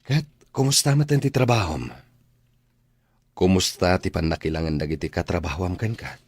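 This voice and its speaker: A man playing a weary, caring working family man in an Ilocano radio drama, husky and a little grumbling. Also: low voice, lively intonation, moderate pace, no echo.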